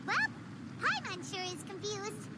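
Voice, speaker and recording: Squeaky, very high childlike fairy. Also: female voice, measured pace, noisy background. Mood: curious. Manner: excitable, refers to self by name. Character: tiny excitable childlike fairy companion.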